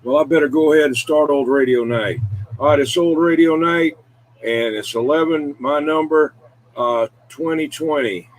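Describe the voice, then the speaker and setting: A man's voice: fairly high pitch, normal speed, poor audio. A man chatting casually as host of a CB radio show, folksy and full of radio lingo. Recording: background noise.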